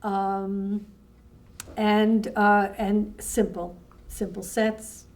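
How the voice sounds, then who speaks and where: A woman's voice: medium pitch, little pitch movement, very slow, a little mellow, distant mic. A woman speaking casually and conversationally as a festival organizer, explaining how things are run. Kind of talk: casual interview talk.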